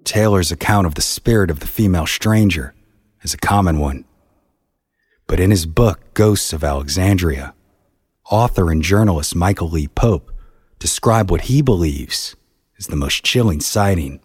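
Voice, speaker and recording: A man's voice: deep voice, animated, normal speed, husky, dry recording. A man hosting a podcast, reading scripted storytelling narration.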